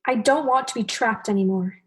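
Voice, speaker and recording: A woman's voice: on the high side, a little fast, natural voice. A woman playing an aggrieved, stubborn female fish in a radio play, speaking bluntly and earnestly. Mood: pleading. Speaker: actor.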